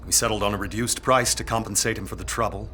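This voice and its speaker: A man's voice: on the low side, fairly even intonation, quick pace, sharp tone, a little hiss or hum. A man playing a brooding, dutiful aristocratic heir in a period audio drama, speaking formally and earnestly. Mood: brooding, resolved.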